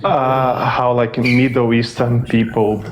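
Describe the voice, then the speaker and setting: A man's voice: medium pitch, little pitch movement, slow. A man telling a story in an emphatic way in a live stream chat.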